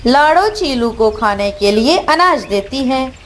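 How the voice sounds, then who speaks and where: Female narrator for children's tales: high voice, unhurried scripted delivery. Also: lively intonation, a little hiss or hum.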